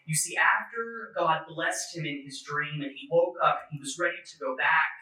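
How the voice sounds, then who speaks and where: Bright-voiced male preacher with a stutter, echoey room. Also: a little high, normal speed. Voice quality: stutter, occasional broken fluency. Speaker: clergy member giving a talk. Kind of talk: personal testimony sermon at a church forum. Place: echoey indoor church forum space. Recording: echoey room, distant microphone.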